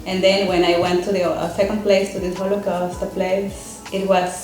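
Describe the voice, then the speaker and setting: A woman from Peru, a guest in a casual chat, speaking earnestly and haltingly as she searches for words. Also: fairly low pitch, level tone, darkish tone. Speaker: guest, seminar student. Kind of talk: casual conversation. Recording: very echoey room, faint music.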